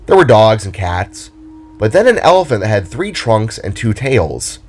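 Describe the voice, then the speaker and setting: A man narrating a scripted story in a dramatic, deliberate and theatrical way. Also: on the low side, darkish tone. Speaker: narrator and author.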